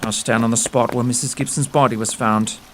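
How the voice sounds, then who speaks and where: A man's voice: medium pitch, steady tone, a little fast, slight background noise, lightly acted. Man acting a precise, self-assured detective. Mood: brisk, intent. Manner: precise, formal, old-fashioned address. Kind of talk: audio theatre acting.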